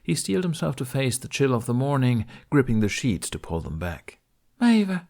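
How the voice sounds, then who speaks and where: A man's voice: medium pitch, sing-song, brisk, vocal fry, darkish tone. A man reading scripted fiction as an audiobook narrator.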